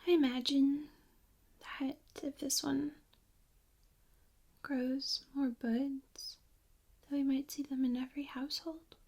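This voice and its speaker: A woman speaking softly and whispering in a hushed, gentle ASMR style, giving step-by-step demonstrations into a close microphone. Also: high voice, little pitch movement, takes it slowly, long pauses. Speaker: ASMR host demonstrating plant care in a role play. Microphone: close binaural microphone. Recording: faint background noise, binaural close-miked.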